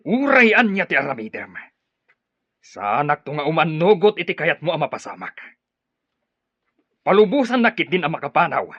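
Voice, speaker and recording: Proud captive leader, radio drama, Ilocano accent, forceful animated challenge, dull lo-fi recording. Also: male voice, on the high side, normal speed, pauses often, husky, no echo, heavily acted. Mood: defiant. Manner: indignant, challenging. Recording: muffled, band-limited radio drama recording.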